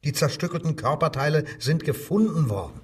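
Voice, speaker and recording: A male actor playing a coldly insistent wartime German police detective in a radio crime drama, with a husky voice and a sardonic, interrogating manner. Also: on the high side, lively intonation, a little fast, recorded from a distance. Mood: mocking, needling.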